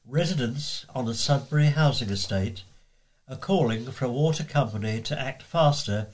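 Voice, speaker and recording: A man's voice: medium pitch, moderate pace, a little mellow, low-quality recording. A man reading scripted local news as a volunteer newsreader.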